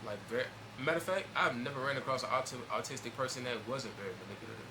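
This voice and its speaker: A man commenting on a video in a loose, emphatic way, often turning to address his audience directly. His voice sits at an ordinary middle pitch. Steady background noise runs under him the whole time. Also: racing. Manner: loose, emphatic, talks straight to viewers. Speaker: reaction video host. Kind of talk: casual reaction commentary.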